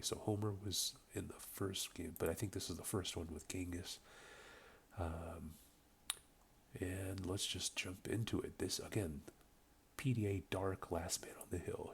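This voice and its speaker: A man with a voice slightly off from a cold, casually commentating a strategy game replay, relaxed and rambling with many ums. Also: deep voice, gravelly, slight background noise. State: getting over a cold. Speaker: game caster. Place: home room with a buzzing light.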